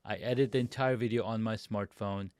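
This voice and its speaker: Smooth low-voiced man telling his story. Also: fairly even intonation, fairly quick, darkish tone, dry recording, studio quality. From grew up in Pakistan, Saudi Arabia and the US. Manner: upbeat, chatty, talks with some restarts. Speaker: guest, designer and videographer. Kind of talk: casual storytelling on a video podcast.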